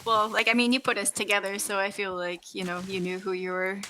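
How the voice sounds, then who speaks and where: Woman, bright forceful voice, faint background noise, informal gamer chat, dramatic self-quoting. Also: mid-range voice, little pitch movement, brisk, poor audio. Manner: informal, frequent "like", quotes herself dramatically. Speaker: voice actor being interviewed. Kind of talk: casual chat while playing a video game.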